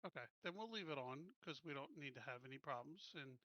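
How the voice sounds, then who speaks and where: A man's voice: fairly high pitch, animated, fairly quick, sharp tone, no echo, muffled recording. A man talking casually as a player in a tabletop roleplaying game.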